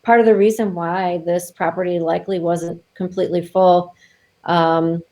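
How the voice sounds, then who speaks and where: A woman working as a travel advisor, chatting casually in an interview over a video call, with lots of fillers and quick laughs. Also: on the low side, steady tone, mellow.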